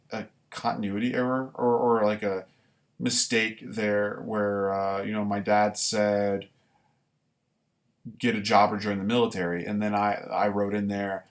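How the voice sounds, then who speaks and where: A man reading his own writing live and commenting on it casually, in deliberate, halting short phrases. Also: on the low side. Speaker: writer reading own work; former military recruit.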